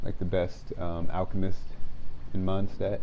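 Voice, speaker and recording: A man's voice: low voice, monotone, a little slow, background noise. A man doing casual live gaming commentary as a streamer, talking in a laid-back, slangy way. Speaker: game livestreamer. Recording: dull lo-fi mic, game music behind.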